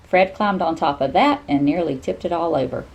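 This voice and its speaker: A woman reading a children's storybook aloud in an expressive, teacherly way. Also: deep voice, normal speed, vocal fry.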